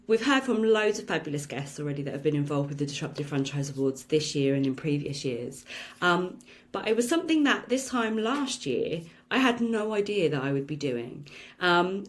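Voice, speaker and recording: A woman hosting a solo podcast, talking in a chatty, reflective way with lots of "um"s and a slightly croaky voice. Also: lowish voice. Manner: chatty and reflective, many "um" fillers, laughs now and then. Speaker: podcast host.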